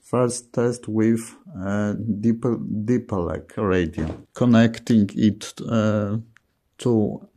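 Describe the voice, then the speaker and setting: A man with a non-native English accent giving a halting, hesitant gadget demonstration, often breaking off words. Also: lowish voice, mellow. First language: not English. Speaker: presenter of radio gadget reviews. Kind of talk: product demonstration narration.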